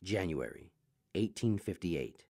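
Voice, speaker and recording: A man playing a formal announcer in a radio drama, giving short, measured announcements. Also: sits low, animated, darkish tone, full character voice. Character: male announcer of letter dates.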